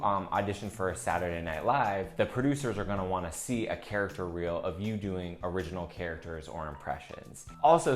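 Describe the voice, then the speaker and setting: A man giving a casual, conversational lesson as a comedy instructor, often dropping "like" into his sentences. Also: low voice. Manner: conversational, peppered with "like". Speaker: comedian teaching character reels. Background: faint music.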